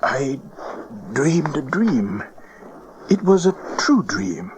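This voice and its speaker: An actor playing an aged, gentle, visionary wise man in a dramatized audio Bible, speaking in a halting, awed way. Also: medium pitch, very animated, slight background noise, rough recording. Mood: drowsy. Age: older.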